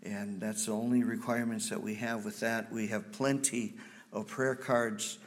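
Man, 50s, Wisconsin accent, missionary speaking in church, folksy and halting. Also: on the low side, level tone. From Wisconsin, USA. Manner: halting, folksy, frequent ums. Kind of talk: church presentation.

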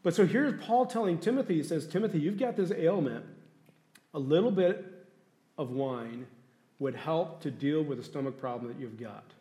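A man's voice: fairly high pitch, animated, moderate pace, warm tone. A male pastor preaching a sermon in a conversational way, often asking the congregation questions. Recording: echoey room.

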